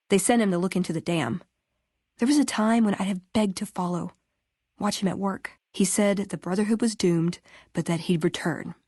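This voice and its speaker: A woman acting as a young female scribe from a post-apocalyptic video game, recorded dry and close. She is chatty and idealistic, with a sardonic edge to her conversational delivery. Her voice sits at a medium pitch for a woman. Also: a little mellow, no room sound, natural voice. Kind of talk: acted video game companion dialogue.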